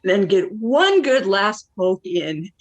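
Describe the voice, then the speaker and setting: A woman's voice: medium pitch, very animated, takes it slowly. An actress playing a weary, worldly wife and mother in a stage play, wistful yet blunt. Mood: blunt, emotional. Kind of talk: dramatic monologue in a stage play.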